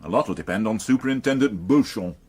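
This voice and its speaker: An actor playing a gloomy, middle-aged, dry-witted police inspector in a naturalistic radio drama. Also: male voice, on the low side, expressive, fast talker, a little mellow. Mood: grumbling, wry. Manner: grumbling, understated, dryly sardonic.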